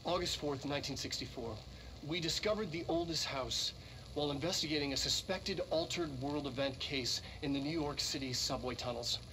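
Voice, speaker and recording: An actor playing an earnest government scientist in a video game, giving a halting, explanatory recorded briefing. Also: male voice, on the high side, natural voice. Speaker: research presenter. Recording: noisy archival presentation recording.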